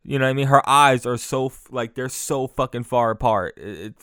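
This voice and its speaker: A young man rants alone into his podcast mic, cursing, mocking, and tripping over his own restarts. His voice sounds smooth and clear, and the recording is close and dry, with no room sound. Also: mid-range voice, moderate pace, fairly bright tone, no echo. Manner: profane, mocking, rambling with many restarts. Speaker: podcast host. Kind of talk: solo comedic rant.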